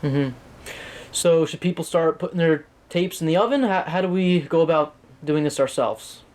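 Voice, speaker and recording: A man's voice: on the high side, normal speed, darkish tone. A man speaking conversationally as an interviewer. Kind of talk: conversational questioning.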